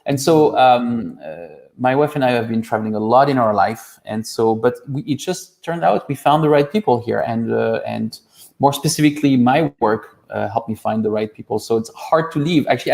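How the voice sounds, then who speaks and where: A man's voice: medium pitch, normal speed, gravelly, darkish tone. A man in his forties from France, with a French accent, speaking expressively as an interview guest and telling personal anecdotes in casual chat. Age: 40s. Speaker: interview guest, boardgame publisher.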